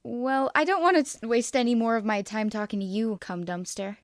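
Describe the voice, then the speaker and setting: A woman's voice: on the high side, moderate pace, no echo, studio quality, natural voice. A woman voicing a cheeky teenage boy prankster with a playful, mocking, teasing manner, in an anime fan story. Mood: brash.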